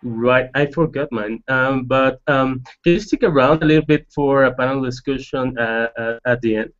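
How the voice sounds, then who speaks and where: A man's voice: medium pitch, normal speed, a bit bright. Polite male show host who piles on questions; muffled lo-fi web-call sound. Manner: polite, stacks rambling questions. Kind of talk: hosting an online talk show.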